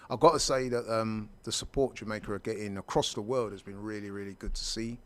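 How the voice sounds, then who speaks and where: A man co-hosting a casual sports chat, speaking in a measured, emphatic way and repeating words for stress. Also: fairly low pitch, steady tone, a bit bright. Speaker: sports pundit co-host.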